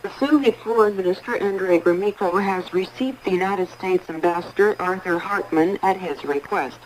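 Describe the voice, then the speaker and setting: A woman radio announcer reading international news in a formal, measured, scripted 1980s style over shortwave radio. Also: mid-range voice, poor audio.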